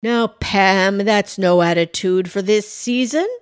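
A woman's voice: medium pitch, slow pace, clear, resonant tone, no echo, natural voice. Woman acting a big jolly old man, hearty, friendly, pleading, comic storybook character, close dry sound.

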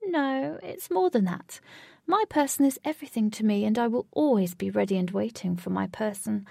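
A woman's voice: mid-range voice, normal speed, creaky, darkish tone, dry recording, studio quality. A woman voicing a giant fat talking cat in a storybook, sweet, lonely, affectionate and earnest. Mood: devoted. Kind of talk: storybook character voice.

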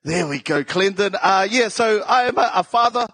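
A man's voice: high voice, lively intonation, moderate pace, vocal fry, a bit bright, no room sound. A man with a South Auckland New Zealand accent telling stories casually on stage as a comedian, joking and self-deprecating in slangy speech. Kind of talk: casual comedic live storytelling.

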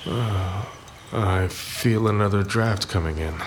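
Deep, theatrical noir crime boss. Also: male voice, slow. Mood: flustered, afraid. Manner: theatrical, commanding. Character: domineering, scheming male crime boss. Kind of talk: hard-boiled comic audio drama acting. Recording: faint background noise.

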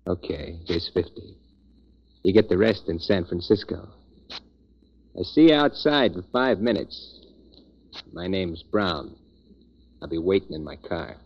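A man's voice: sits low, expressive, a little slow, vocal fry, pauses often, husky, exaggerated voice. An American man playing a shifty, guarded little gambler on the run in a 1940s radio drama. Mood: curt, prickly. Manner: terse, guarded, tough-talking. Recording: muffled, lo-fi 1940s radio recording.

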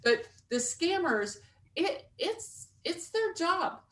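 A woman hosting an interview, lively and self-deprecating, laughing easily between questions. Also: very high-pitched, takes it slowly, far from the mic. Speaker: podcast host. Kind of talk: casual interview talk.

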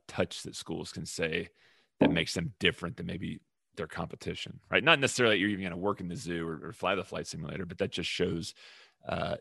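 A man interviewing a coach, asking questions and giving relaxed affirmations. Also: low-pitched, gravelly, breathy edge, no room sound, studio quality.

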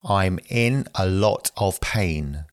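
A very low-voiced man reads short phrases at an unhurried, deliberate pace, as in a language course. The sound is close and dry, with no room around it. Each phrase comes out clean and evenly spaced. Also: no room sound. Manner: slow, careful, even delivery. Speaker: English phrase reader. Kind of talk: slow repeated phrase reading for learners.

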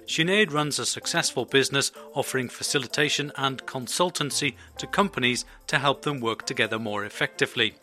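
A man with a bright, forceful voice hosts an interview podcast. He asks questions haltingly, with many fillers. Faint music runs under his intro. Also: mid-range voice. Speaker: podcast host.

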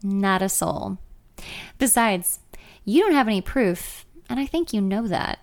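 A woman's voice: mid-range voice, animated, a little slow, gravelly. A woman voicing a smug, condescending male country musician in a story, taunting in manner.

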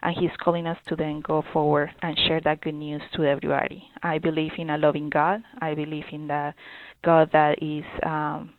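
Earnest woman from Colombia, gravelly voice. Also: low-pitched, level tone, dry recording. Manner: earnest, halting, with many fillers and restarts. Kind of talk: interview answers. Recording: dry, phone-like narrow sound.

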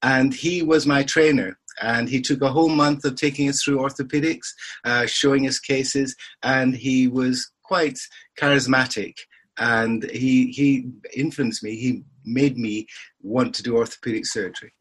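An older man, a surgeon and podcast guest, telling anecdotes with dry humour in a relaxed interview. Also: medium pitch, unhurried, husky. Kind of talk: conversational interview.

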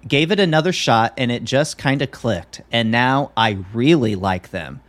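A man's voice: medium pitch, lively intonation, normal speed, fairly bright tone. A male podcast host with a mid-range voice, chatting casually. He talks in an easygoing, conversational way, as if to a co-host and regular listeners.

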